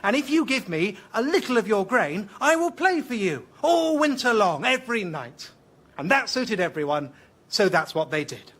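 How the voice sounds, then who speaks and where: An actor playing a patient father gently telling a bedtime fable in a radio sketch. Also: high-pitched, sing-song, moderate pace, exaggerated voice. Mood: patient, storytelling. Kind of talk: radio sketch, storybook reading.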